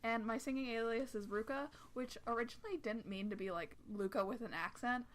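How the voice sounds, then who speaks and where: A woman's voice: a little high, fairly quick. An eighteen-year-old woman from Canada chatting casually with friends, hesitant and full of ums. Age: young adult. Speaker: cover singer. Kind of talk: casual group chat.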